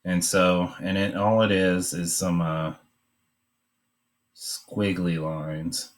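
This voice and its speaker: A man giving an informal drawing demonstration, talking in a hesitant, halting way as he thinks aloud. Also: low voice, level tone. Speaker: art demonstrator.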